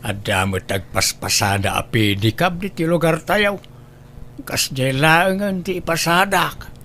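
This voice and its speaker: A man's voice: a little high, expressive, a little slow, sharp tone. A man with an Ilocano accent playing a plaintive old village father in an amateur comedy drama. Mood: insistent. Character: old village father, a shaken pedicab driver.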